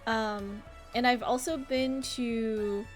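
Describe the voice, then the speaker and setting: High-voiced chatty woman streamer. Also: steady tone, slow, soft background music. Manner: chatty, rambling, talking to viewers. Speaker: live game streamer. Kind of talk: casual livestream chat. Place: indoor home office.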